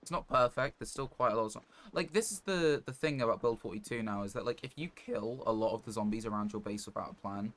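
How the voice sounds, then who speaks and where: A man's voice: mid-range voice, fast, few pauses, a bit bright. A man live-streaming a game, thinking aloud in a casual, rambling and understated way. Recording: clear background noise, clicks.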